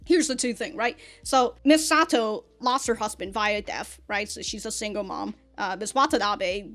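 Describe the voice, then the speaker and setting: A woman giving a casual, animated episode review as a reaction host, with slangy, sweary talk. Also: mid-range voice, normal speed, soft background music.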